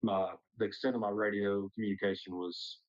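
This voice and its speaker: A man's voice: fairly low pitch, level tone, fairly quick, lo-fi sound. A man talking casually as a pilot sharing experience, in loose, hesitant phrasing sprinkled with "like". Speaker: pilot, discussion participant. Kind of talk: casual personal storytelling.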